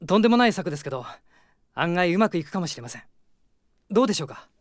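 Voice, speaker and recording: An actor playing a gentle, idealistic young prince who speaks politely and thoughtfully in a radio drama. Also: male voice, high voice, sing-song, normal speed, no room sound, studio quality. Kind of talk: Japanese radio drama acting.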